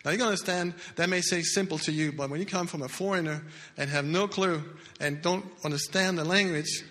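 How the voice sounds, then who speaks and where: A man in his sixties, not a native English speaker, telling his life story earnestly as a testimony in church, with halting restarts. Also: a little high, a bit bright. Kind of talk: personal testimony talk. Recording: echoey hall with long reverb.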